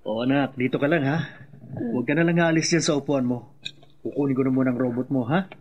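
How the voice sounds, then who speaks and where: An actor playing a doting father of a small boy in a radio horror drama, expressive and coaxing. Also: mid-range voice, quick pace, lo-fi sound, lightly acted. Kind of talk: radio horror drama acting, thinking aloud. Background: music.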